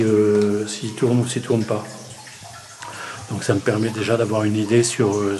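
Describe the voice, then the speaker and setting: Halting low-voiced man from Normandy. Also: a little mellow, slight background noise. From Normandy, France. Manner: halting, many fillers and restarts. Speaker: village mayor. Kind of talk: interview testimony.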